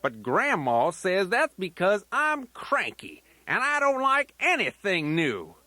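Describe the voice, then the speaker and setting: A man's voice: high-pitched, huge pitch swings, takes it slowly, bright, forceful tone, dry recording, full character voice. A man voicing a folksy, playful old grandfather teddy bear who rambles in a children's storytelling tape. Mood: cranky, amused. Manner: folksy, rambling, sing-song rhyming asides.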